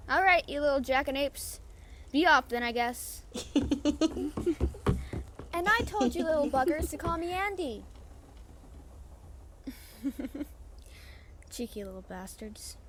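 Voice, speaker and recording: A woman playing a brash but warm-hearted female pirate captain in an audio roleplay, confiding and casually salty. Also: sits high, expressive, takes it slowly, long pauses, heavily acted. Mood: teasing, exasperated. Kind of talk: audio roleplay acting, chatting to the listener. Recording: faint background noise and ambience.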